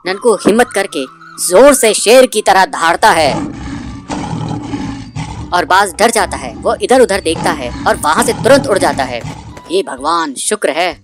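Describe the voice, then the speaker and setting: A woman's voice: mid-range voice, lively intonation, a little slow, pauses often. A woman doing scripted narration for a children's cartoon story. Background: faint music.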